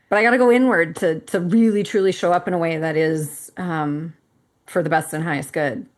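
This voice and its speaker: A woman's voice: lowish voice, steady tone, moderate pace. A woman in her fifties, a podcast co-host, talking candidly and animatedly with frequent laughs and casual swearing. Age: 50s. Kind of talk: casual conversation.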